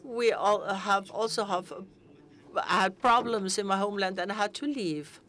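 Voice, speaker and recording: Slow, halting woman interpreter. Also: mid-range voice, a bit bright. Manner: halting, with frequent fillers and restarts. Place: conference hall.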